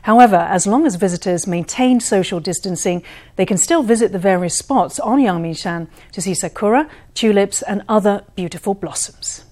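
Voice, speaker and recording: A woman reading the news as anchor in a measured, formal delivery. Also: mid-range voice, warm tone.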